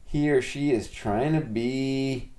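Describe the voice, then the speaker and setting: A man hosts a podcast interview with a loose, joking manner. He fires off short asides and puns, and he jumps in often with quick replies while his guest speaks. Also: medium pitch, a little mellow. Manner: playful, jokey, quick back-channels. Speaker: podcast host.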